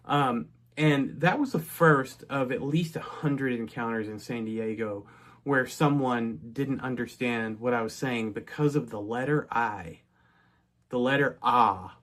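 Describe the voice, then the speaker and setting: A man's voice: mid-range voice, unhurried, fairly bright tone. A middle-aged man from North Texas, casually telling a personal story in a chatty way, with frequent restarts and asides.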